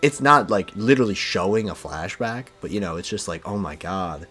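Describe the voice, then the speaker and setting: A man's voice: sits low, expressive, moderate pace, bright, forceful tone, faint music behind. A man in a casual, enthusiastic fan discussion, peppering his talk with "like" and "you know". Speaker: podcast speaker discussing manga.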